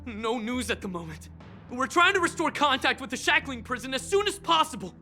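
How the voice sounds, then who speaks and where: A man's voice: very high-pitched, sing-song, a little fast, pushed and bright, soft background music, exaggerated voice. A man voicing an earnest young soldier in an anime game, giving formal, clipped military reports. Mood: urgent, formal.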